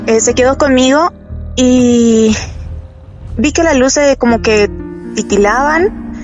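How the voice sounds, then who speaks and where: Guest: young woman, higher voice, hesitant animated storyteller, dull lo-fi call audio with music. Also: slow pace. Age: young adult. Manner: animated, hesitant, many fillers. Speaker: guest telling a true story. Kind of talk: casual storytelling.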